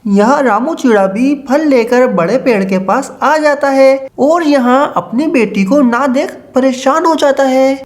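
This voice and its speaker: A woman narrator with a high voice tells a children's story. She reads scripted narration for an animated bedtime tale. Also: a little slow, few pauses.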